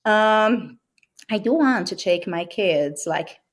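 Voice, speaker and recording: A woman in her thirties with a strong Brazilian accent, a medical sales rep speaking as an interview guest in halting, filler-heavy casual talk. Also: medium pitch, lively intonation. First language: Portuguese. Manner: halting, with frequent "like" and "you know" fillers.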